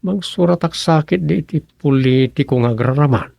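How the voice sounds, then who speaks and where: A man's voice: on the high side, moderate pace, gravelly, darkish tone, no room sound, clean studio sound. A man acting a grumbling, ambitious townsman in a radio comedy drama. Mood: grumbling, muttering. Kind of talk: radio serial comedy drama dialogue in Ilocano.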